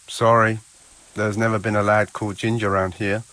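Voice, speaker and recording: An actor playing an unhelpful, pious medieval charcoal burner in a video game, with a dry, dismissive manner. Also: male voice, lowish voice, flat delivery, brisk, bright, forceful tone. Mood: dry, reluctant. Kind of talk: video game cutscene dialogue.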